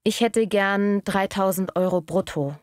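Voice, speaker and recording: A woman acting a polite, eager bookkeeper at a job interview in a scripted language-learning dialogue, speaking courteously and clearly. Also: medium pitch, a little slow, no echo, clean studio sound, close to an everyday voice. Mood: polite, pleased.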